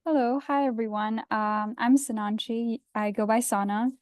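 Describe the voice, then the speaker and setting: A woman in her twenties from India, a university student, speaking in a measured, soft-spoken way on a panel. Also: a little high, steady tone, dry recording, studio quality. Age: 20s. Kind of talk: panel introduction.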